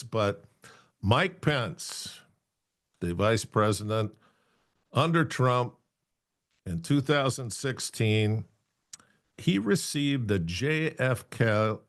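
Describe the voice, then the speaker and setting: A man's voice: medium pitch, animated, slow, pauses often, fairly bright tone. A man hosting a news show, giving informal, opinionated commentary in a plain-spoken way.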